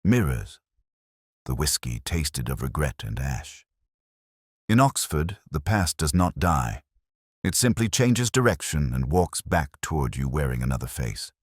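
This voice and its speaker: A man reading scripted first-person detective narration in a measured, solemn and literary manner. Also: a bass voice, sing-song, slightly rough, no room sound, studio quality.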